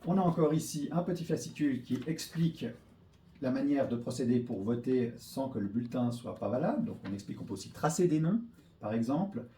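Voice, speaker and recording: A man's voice: mid-range voice, lively intonation, a little fast, dark tone. A man, patiently walking through a voting tutorial step by step, with the occasional hesitation. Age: 40s. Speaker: local politician giving a voting tutorial. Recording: echoey room with faint noise.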